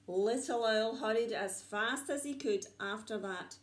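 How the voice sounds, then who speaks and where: A woman reading a story to young children like a nursery teacher, with dramatic pauses and repeated words for suspense. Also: fairly high pitch. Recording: echoey room.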